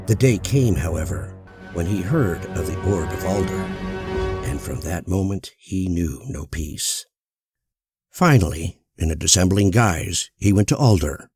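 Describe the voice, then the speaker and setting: A man narrating a fantasy audiobook in a measured, solemn storytelling manner. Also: low voice, animated. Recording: loud music bed under voice.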